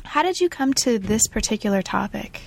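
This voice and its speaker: A woman's voice: on the high side, lively intonation, moderate pace, vocal fry, no echo. A woman hosting an academic book interview, speaking with enthusiastic, effusive praise and frequent fillers. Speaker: podcast host.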